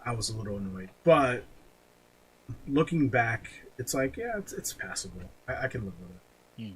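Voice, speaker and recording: A man's voice: medium pitch, expressive, moderate pace, poor audio. A male podcast host chats informally in a mid-range voice, swearing casually. The talk is loose and conversational. Background noise is audible around him. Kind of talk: casual chat, film ranking banter.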